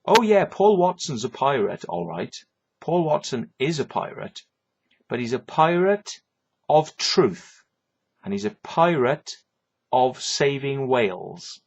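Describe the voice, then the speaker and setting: A man's voice: mid-range voice, big pitch swings, takes it slowly, gravelly, husky, muffled recording. A man with a Welsh accent delivering a rambling, swearing rant, tagging lines with "yeah" and "right". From Wales. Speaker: vlogger. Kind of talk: ranting monologue to camera.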